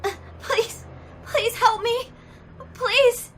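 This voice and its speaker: A woman's voice: very high voice, steady tone, very slow, fairly bright tone, full character voice. A woman playing a desperate, bitten pregnant woman, crying and pleading brokenly for her baby in an audio drama. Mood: frightened pleading. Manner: pleading, broken, sobbing delivery.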